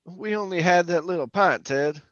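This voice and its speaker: A man's voice: high voice, moderate pace, a bit bright, no room sound, dull audio. A man playing a jittery, haunted oil rig worker telling his suspense story in colloquial, stammering old-time radio style. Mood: defensive, nervous. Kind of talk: old-time radio drama narration, first-person suspense.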